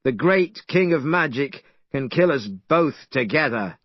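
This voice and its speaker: Man playing a cocky smart monkey guy, bossy and sure, lively voice, muffled dry sound. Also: medium pitch, a little slow, dry recording. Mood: confident. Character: clever bold male monkey hero.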